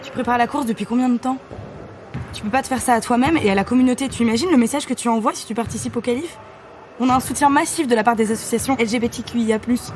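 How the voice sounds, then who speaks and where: A woman's voice: a little high, quick pace, lightly acted. A woman playing a determined, urgent and persuasive team activist in a French audio drama. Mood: urgent, insistent. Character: determined woman pushing her teammate to fight. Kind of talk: naturalistic French audio fiction acting. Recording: outdoor urban ambient noise.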